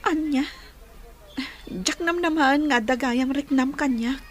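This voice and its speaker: A woman playing a kind, grateful young student in a radio drama, speaking gently and earnestly. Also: sits high, slow pace. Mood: surprised, gentle. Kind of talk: Philippine radio drama acting.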